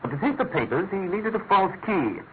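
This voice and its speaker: British gentleman detective, lively swooping intonation, keen, articulate, self-assured, classic radio mystery, thin tinny sound. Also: high voice. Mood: reasoning. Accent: British English. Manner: brisk, articulate, deliberate reasoning. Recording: tinny, narrow-band radio recording with hiss.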